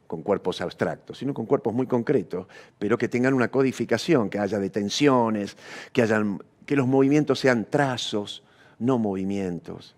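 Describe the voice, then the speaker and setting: An Argentine man with a fairly low voice gives a thoughtful interview. He speaks like an intellectual, building abstract ideas, searching for the exact phrase and adding short questioning tags. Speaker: interviewee, theatre practitioner. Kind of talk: reflective intellectual interview talk.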